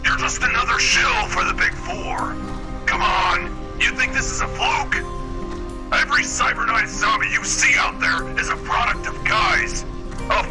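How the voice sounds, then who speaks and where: An actor playing a fierce, conspiracy-minded anti-corporate rebel in an intense sci-fi game cutscene. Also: male voice, high voice, unhurried, over music. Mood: accusing.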